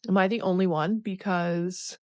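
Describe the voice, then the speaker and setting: A woman's voice: on the low side, steady tone, moderate pace, dry recording, studio quality. A woman confiding wistfully to camera about her life. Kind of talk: confessional video to camera.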